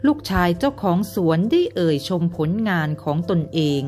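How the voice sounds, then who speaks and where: A woman, a mother and storyteller, narrating a bedtime story for children in a gentle manner. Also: lowish voice, very animated, normal speed, soft background music. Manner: gentle, sing-song with children.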